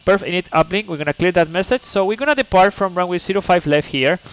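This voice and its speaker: Man explaining things in a higher voice. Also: fast talker, a bit bright, clean tone. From Chile. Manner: patient and instructive, checks in often with "okay?"; chatty asides to viewers. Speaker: flight sim streamer. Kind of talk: explaining steps while streaming live.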